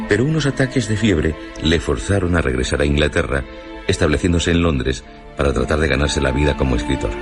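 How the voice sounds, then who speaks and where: A man presenting a radio drama programme with a measured, solemn scripted introduction. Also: a bass voice. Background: background music.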